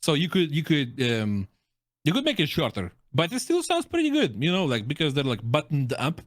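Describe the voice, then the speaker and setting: A man's voice: fairly high pitch, huge pitch swings, moderate pace, no room sound, clean studio sound. A man who is a native Russian speaker, reviewing game voice lines in a casual, chatty and jokey reaction conversation.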